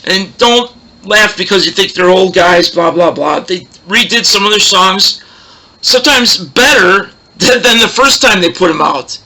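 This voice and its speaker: A man with a big, loud, roaring voice hosting a casual record collection show, chatting in a rambling way and losing his train of thought. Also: high voice, expressive, unhurried, poor audio. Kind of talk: casual show-and-tell chat.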